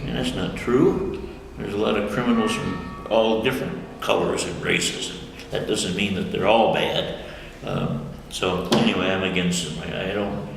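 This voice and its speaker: A man's voice: sits low, vocal fry. An older man from the United States, answering interview questions in a rambling, self-correcting way and chuckling often. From United States, Michigan. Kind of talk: casual conversational interview. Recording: echoey room with long reverb and faint noise.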